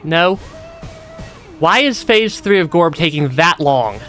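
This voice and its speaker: A man with a bright, tense voice that swings widely in pitch while he streams a game. His voice is going hoarse and breaks now and then, and he giggles and stammers through his casual commentary. Music plays faintly behind him. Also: animated, slow pace. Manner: giggly, laughs often, stammers and repeats words. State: losing his voice, voice cutting out.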